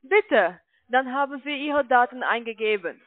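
A woman's voice: a little high, animated, no room sound. Foreign-accented woman on a tinny phone line. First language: not German. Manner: insistent, halting non-native phrasing. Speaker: call center agent. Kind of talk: phone sales call, arguing. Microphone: telephone.

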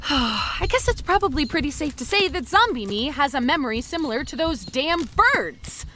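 A chatty undead young woman played by an actress speaks high and very animated over faint noise. She rambles excitably and confides in the listener, like the anxious narrator of a comedy horror audio drama. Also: moderate pace. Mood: musing, unsettled. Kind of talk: audio drama first-person monologue.

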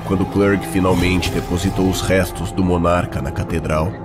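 A man with a Brazilian accent giving grave, deliberate, dramatic narration of a fantasy story. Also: low-pitched, level tone, over music.

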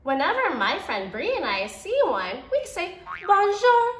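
A woman's voice: high voice, wildly swooping pitch, unhurried, fairly bright tone, roomy sound, slight background noise. A young woman who teaches preschool, reading a picture book aloud to children and voicing the quoted lines with expression. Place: indoor room by a brick fireplace.

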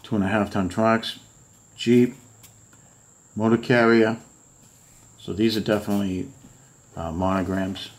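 A man's voice: on the low side, fairly even intonation, unhurried, long pauses. A middle-aged man casually showing old books to camera at home, musing aloud with lots of fillers. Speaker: model kit collector showing books. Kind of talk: casual show-and-tell vlog. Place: home room full of model kit boxes.

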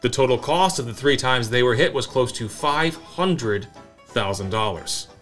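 An American man in his thirties co-hosting a show, narrating comic history and bantering in a dry, deadpan manner. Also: mid-range voice, measured pace. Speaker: co-host of a whiskey review show. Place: small indoor home-style bar set. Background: background music with guitar.

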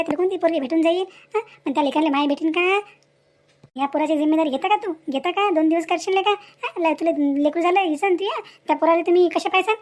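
A woman's voice: sits high, darkish tone, close to an everyday voice. A woman voicing a scolding, motherly village neighbour in a cartoon moral story, with a rural Varhadi Marathi accent and an emphatic, admonishing manner full of rhetorical questions. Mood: indignant scolding. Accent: rural Maharashtra, Varhadi Marathi.

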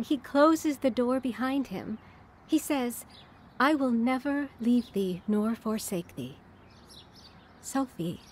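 A woman playing a kindly, devout, reassuring neighbor in a radio drama, gentle and assured. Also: high voice, slight background noise.

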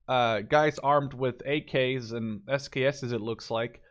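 A man's voice: mid-range voice, fairly even intonation, slow pace. A man giving casual, unscripted commentary on a game as he plays, informally thinking aloud. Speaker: gameplay video host.

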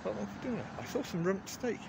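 Wry man with lively, swooping delivery. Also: high voice, quick pace, creaky. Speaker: vlogger. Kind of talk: casual rambling walk-around vlog narration. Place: outdoors in a garden. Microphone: handheld phone at arm's length. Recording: noisy handheld phone recording.

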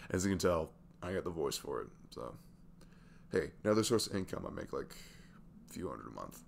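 A man in his twenties playing a sweet, easygoing single dad in an intimate ASMR audio roleplay, chatting haltingly in a velvety voice. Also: very animated, a little hiss or hum, exaggerated voice. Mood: candid, slightly sheepish. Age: 20s. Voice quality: deep, gravelly velvet voice. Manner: halting, chuckling, conversational.

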